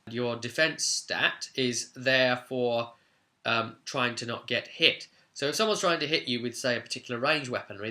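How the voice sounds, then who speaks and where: A man's voice: medium pitch, sharp tone. A man giving an informal tutorial as a game master, conversational and stressing key words.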